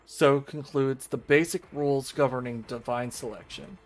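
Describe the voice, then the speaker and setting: A man streaming a visual novel, reading the game text aloud in a formal, measured storytelling style. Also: mid-range voice, steady tone. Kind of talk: dramatic read-aloud narration of game text. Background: video game music.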